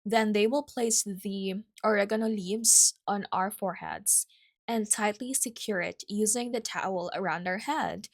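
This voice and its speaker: A woman's voice: medium pitch, a little slow. Young Filipino-accented woman chatting on a podcast. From Philippines. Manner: chatty, self-correcting, with asides. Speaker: podcast host. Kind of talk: casual solo podcast storytelling.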